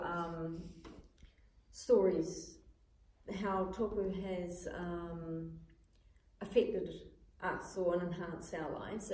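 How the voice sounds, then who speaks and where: A woman's voice: fairly low pitch, warm tone. A woman hosting a small gathering, talking informally about her own experience. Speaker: event host and speaker. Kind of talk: informal personal talk to a small group. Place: indoor room at a small gathering. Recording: roomy echo, some background noise.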